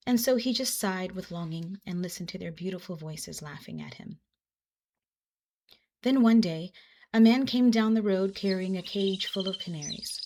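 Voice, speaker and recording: A woman storyteller narrating a children's folktale in an expressive style. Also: lowish voice, slight background noise. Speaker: storyteller and host. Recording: music behind the voice.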